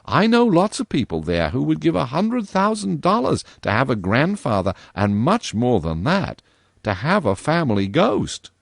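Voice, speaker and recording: A man's voice: medium pitch, wildly swooping pitch, moderate pace, creaky, no echo, studio quality, voice pushed up, full character voice. A man voicing an earnest, primly stern young girl in a storybook reading, with a scolding manner.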